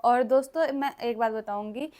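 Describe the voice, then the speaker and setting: A woman with a fairly high-pitched voice speaks to vlog viewers. Her delivery is casual and conversational, like an everyday update. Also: normal speed, a little mellow. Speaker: vlogger giving an update to viewers.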